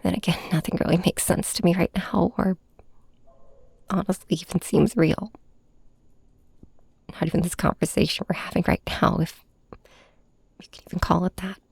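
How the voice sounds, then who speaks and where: Breathy, heartbroken young superhero played by a woman. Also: on the low side, steady tone, a little mellow, no room sound, exaggerated voice. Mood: quiet, dazed. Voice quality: breathy, often dropping to a whisper. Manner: halting, sighing, emotionally raw. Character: heartbroken young superhero confiding in their villain nemesis. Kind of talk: intimate ASMR audio drama monologue. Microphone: close microphone, dry.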